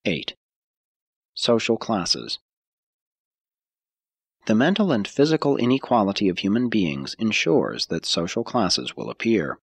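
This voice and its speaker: A man narrating an audiobook from a script in a measured, formal way, with deliberate emphasis. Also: on the low side, very animated, gravelly, slightly rough, dry recording.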